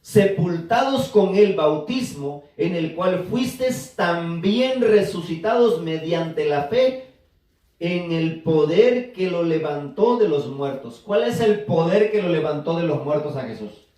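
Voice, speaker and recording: A man's voice: fairly high pitch, animated, takes it slowly, dark tone. A man preaching a sermon to a congregation, teaching and asking them to answer back. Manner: teaching, asks the congregation to respond. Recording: echoey church room from far off.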